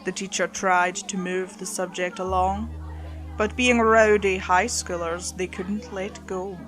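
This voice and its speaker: A woman's voice: mid-range voice, fairly even intonation, unhurried, a bit bright. A woman reading a scripted horror story as its narrator.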